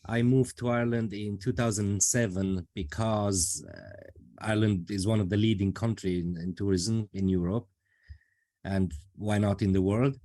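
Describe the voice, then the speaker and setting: A man from Romania who speaks English as a second language, a guest talking through his work in a relaxed, thoughtful way with many pauses and fillers. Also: fairly low pitch. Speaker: project manager, guest. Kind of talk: casual interview.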